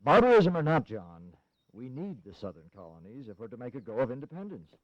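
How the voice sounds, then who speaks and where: A man's voice: mid-range voice, sing-song, a little fast, dry recording, lightly acted. Guy doing a sly older colonial politician, wry and teasing, history radio play, dry dullish sound. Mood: rousing, shrewd. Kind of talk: historical audio drama acting. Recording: dull, dry 1980s audio drama recording.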